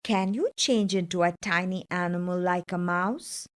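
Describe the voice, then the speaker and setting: A woman's voice: on the low side, normal speed, background noise, close to an everyday voice. A woman voicing a clever, persuasive talking cat in a fairytale storybook reading. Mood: confident, persuasive. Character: clever, bold talking cat, servant to his master.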